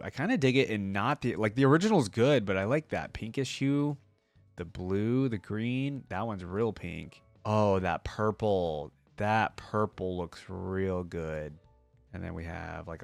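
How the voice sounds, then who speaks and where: A man's voice: on the low side, creaky, dry recording. Casual man with a dry, close sound. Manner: conversational, thinking out loud. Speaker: video creator reacting to game lore and skins. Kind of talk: casual reaction commentary with some reading aloud.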